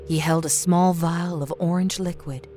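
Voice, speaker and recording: A woman's voice: lowish voice, steady tone, normal speed, warm tone. A woman reading a scripted story as a dramatic storyteller. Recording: faint music bed.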